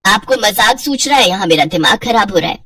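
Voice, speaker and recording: A woman's voice: medium pitch, animated, brisk, bright, forceful tone, slightly rough, distant mic. A woman voicing an exasperated middle-aged mother who grumbles and nags in a Hindi cartoon story. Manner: grumbling, complaining.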